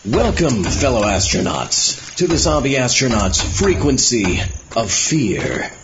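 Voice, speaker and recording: A man's voice: medium pitch, big pitch swings, a little slow. A man hosting a horror podcast with scripted, wry, tongue-in-cheek narration. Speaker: podcast host. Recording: dull lo-fi sound, clear background noise. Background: loud noise with clicks.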